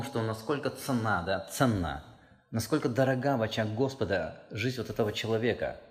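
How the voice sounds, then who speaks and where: Male pastor, mid-range voice, earnest sermon delivery that builds in emphasis, long reverb of a church hall. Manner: earnest, emphatic, rises in pitch and force when stressing a point.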